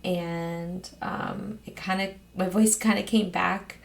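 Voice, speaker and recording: A woman with a hoarse, raspy voice, chatting casually as host of her own get-ready-with-me vlog at home, rambling with frequent ums. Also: lowish voice, fairly even intonation, takes it slowly. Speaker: beauty vlogger host.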